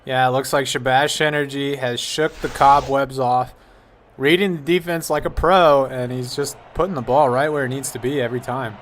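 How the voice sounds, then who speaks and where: A man's voice: medium pitch, steady tone, moderate pace, slight background noise. A man giving casual color commentary on a football broadcast, talking in a chatty, rambling way with frequent restarts.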